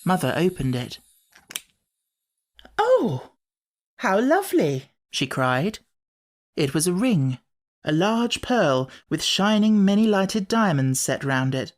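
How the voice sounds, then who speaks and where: A man's voice: a little high, lively intonation, unhurried. A man reading scripted narration for a children's audiobook, as its narrator.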